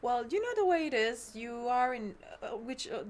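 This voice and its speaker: A woman who grew up in Haiti, a co-host in an interview, chatty and quick to affirm. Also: a little high, expressive, slight background noise. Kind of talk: casual interview.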